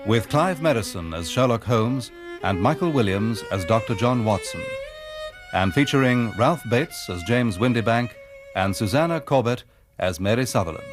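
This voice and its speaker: A male radio drama announcer with a mid-range voice. He reads formally from a script while violin music plays prominently behind him. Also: medium pitch.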